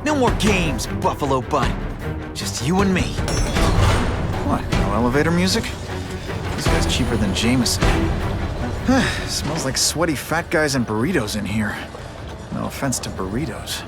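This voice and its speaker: Young wisecracking game hero, high animated voice, sarcastic, music blaring behind. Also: male voice, unhurried, pauses often. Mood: taunting. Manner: quippy, sarcastic, taunting. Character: wisecracking young male masked superhero, cocky and playful. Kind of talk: video game voice acting.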